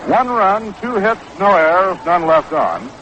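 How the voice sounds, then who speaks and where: A man with a slightly high American voice calls a baseball game play-by-play. The style is 1960s radio sportscasting. The recording is a little muffled, with faint noise behind him. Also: big pitch swings, a little slow, fairly bright tone. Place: outdoor ballpark broadcast booth. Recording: dull, noisy 1960s AM radio broadcast.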